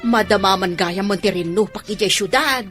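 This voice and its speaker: A woman playing a gossipy neighbor who passes on grim news in a radio play. She has an Ilocano accent and a mid-pitched voice, with music underneath. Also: moderate pace, natural voice. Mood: knowing. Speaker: radio drama actor. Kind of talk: Ilocano radio drama acting.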